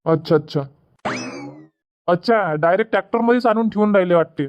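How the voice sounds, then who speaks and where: A man voicing rustic Varhadi Marathi farmer, high-pitched. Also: brisk, clear, resonant tone, close to an everyday voice. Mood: chatty. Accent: Varhadi Marathi, Vidarbha. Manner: colloquial, repetitive rustic chatter. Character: village orange farmers and traders, plain-spoken rustic men. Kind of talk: dubbed cartoon comedy dialogue. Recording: dull lo-fi audio.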